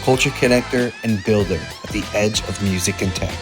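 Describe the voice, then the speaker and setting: A man narrating a scripted show intro in a measured voice-over. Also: fairly low pitch. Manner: measured, lively intonation. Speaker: show host. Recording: voice-over with music bed.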